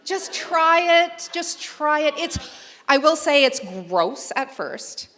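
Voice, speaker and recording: A woman chatting on a convention panel, telling lively stories and putting on playful voices. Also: sits high, takes it slowly, a little hiss or hum. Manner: animated storyteller, playful voices. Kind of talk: casual panel chat. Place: large hall on stage. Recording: reverberant hall sound.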